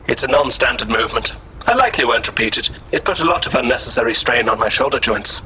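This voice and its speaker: A man's voice: medium pitch, animated, fast, bright, forceful tone, a little hiss or hum, rough recording. An actor playing a polite, pedantic male android in a science fiction audio drama, speaking in formal, precise, wordy phrases. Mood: matter-of-fact.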